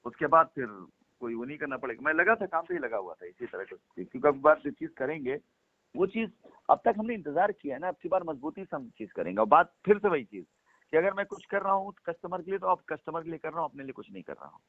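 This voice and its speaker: A man's voice: a little high, very animated, fast talker, vocal fry, no echo. A man on a phone call, speaking for a company, making his points insistently and repeating them for emphasis. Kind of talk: persuasive phone conversation. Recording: tinny phone line.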